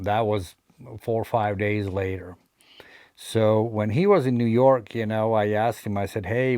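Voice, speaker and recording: A man's voice: fairly low pitch, darkish tone, clear, resonant tone, no echo, clean studio sound. A man in his forties with a Latvian accent, casually telling a rambling story to his vlog audience, full of fillers and restarts. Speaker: vlogger, hat collector.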